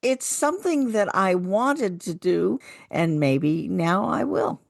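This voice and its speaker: A woman's voice: medium pitch, expressive, slow, gravelly, no room sound, studio quality. An older woman, a retired actress, talking casually as a radio co-host.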